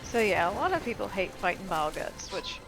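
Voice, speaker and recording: A woman's voice: medium pitch, sing-song, a little fast, low-quality recording. A woman giving casual, chatty let's play commentary with mild swearing while playing a video game. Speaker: gameplay commentator. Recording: loud game music and sounds behind. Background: game music and action sounds.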